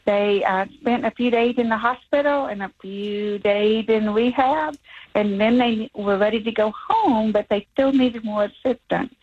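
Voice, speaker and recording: A woman who owns a home care business, calling in to tell a story in a warm, measured way. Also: a little high. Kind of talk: prepared phone-in talk. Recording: phone line.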